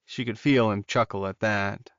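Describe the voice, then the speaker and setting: A man's voice: on the low side, fairly even intonation, moderate pace, a bit bright, dry recording, lo-fi sound. A man narrating fiction as a scripted audiobook.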